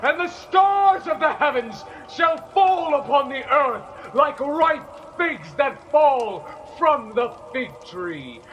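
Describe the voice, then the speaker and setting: A man's voice: sing-song, takes it slowly, few pauses, lo-fi sound, slight background noise, heavily acted. A man acting a fierce holy prophet crying doom in an audio play, incantatory and declaimed. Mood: wrathful. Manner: booming, incantatory, shouted.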